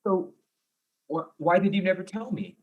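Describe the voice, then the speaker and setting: A man's voice: high-pitched, expressive, moderate pace, phone-quality sound. A man acting an insecure, jealous and goofy fiancé in a darkly comic mystery play, earnest and flustered. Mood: wounded, suspicious.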